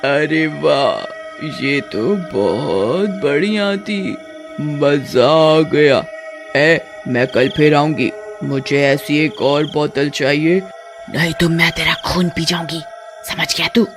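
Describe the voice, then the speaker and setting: A woman voicing a greedy, menacing female witch ghost for a children's cartoon, with drawn-out, taunting words. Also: sits low, lively intonation, music in the background, pitched below the performer's own voice, heavily acted. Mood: gleeful, taunting. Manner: drawn-out taunting, threatening delivery. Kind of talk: Hindi children's cartoon voice acting.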